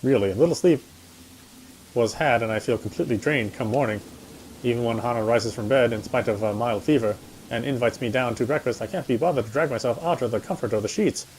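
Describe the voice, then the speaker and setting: Male narrator for a horror visual novel; medium pitch, first-person, dramatic reading. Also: brisk, a little mellow, a little hiss or hum, rough recording.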